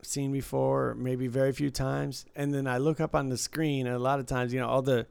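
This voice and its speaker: Gravelly, clear-voiced man chatting casually. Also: mid-range voice, level tone, moderate pace, a little mellow, no room sound. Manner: repeats himself, rambling. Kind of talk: casual comedic chat, telling anecdotes.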